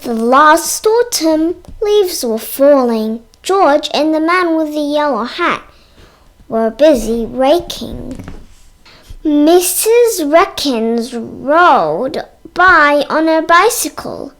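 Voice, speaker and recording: High-voiced, very slow storybook reader, female. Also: sing-song, a bit bright. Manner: halting reading, stumbles over words and sounds them out. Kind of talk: read-aloud narration of a children's book.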